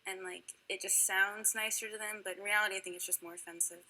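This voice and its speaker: Bright woman in her twenties, earnest. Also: medium pitch, fairly even intonation, fast, poor audio. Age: 20s. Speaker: interviewee.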